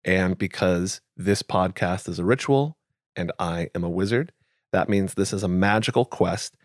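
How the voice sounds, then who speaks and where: Low-voiced relaxed man hosting a podcast. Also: normal speed, no room sound, clean studio sound. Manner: relaxed, thinking aloud with fillers. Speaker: podcast host. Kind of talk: casual conversational chat.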